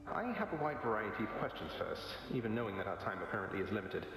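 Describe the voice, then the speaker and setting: An actor playing a wry, cocky young underworld prince in a video game, casual and sardonic. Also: male voice, lowish voice, very animated, fast, creaky. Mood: wry curiosity. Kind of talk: video game dialogue acting. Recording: heavily echoing dreamlike reverb.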